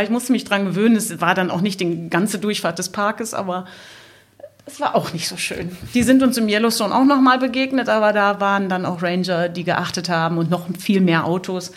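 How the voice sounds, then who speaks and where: A middle-aged woman shares travel anecdotes in a casual podcast conversation. Her voice is of medium pitch. She tells things haltingly, thinking aloud and sounding amused. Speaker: podcast guest, motorcycle traveller.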